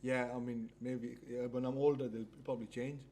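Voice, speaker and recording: A man's voice: medium pitch, flat delivery, warm tone. A man in his thirties chatting casually on a podcast, stumbling and repeating words as he talks. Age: 30s. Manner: fast, halting, repeats words. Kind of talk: casual chat.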